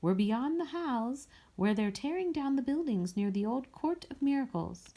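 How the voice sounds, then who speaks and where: A woman voicing a knowing, warm-hearted fortune-teller in a storybook, with a musical, teasing way of speaking. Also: high voice, animated, normal speed, pitched above the performer's own voice. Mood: inviting. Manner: wailing, lilting, teasing.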